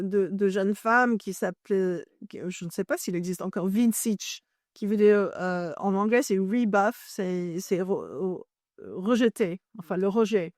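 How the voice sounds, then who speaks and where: Woman from Ireland, native English speaker, interview guest who explains at length, stops to find words, mid pitch, dry recording. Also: a little mellow, dry recording. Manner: searches for French words, self-correcting as she explains. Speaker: journalist and author, interview guest.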